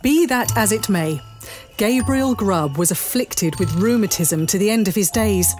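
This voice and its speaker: A woman's voice: medium pitch, lively intonation, measured pace, a little mellow. Woman narrator telling a story over music. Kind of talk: dramatic scripted storytelling.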